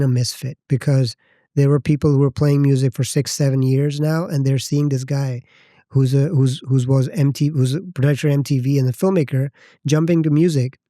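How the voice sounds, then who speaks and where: A Pakistani-accented man in his thirties speaks as a podcast guest, his voice mellow and close in a dead, dry space. He talks in a reflective, halting way, often stopping to rephrase. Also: a little high, no echo. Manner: thoughtful, halting, circles back to rephrase. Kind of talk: reflective personal storytelling.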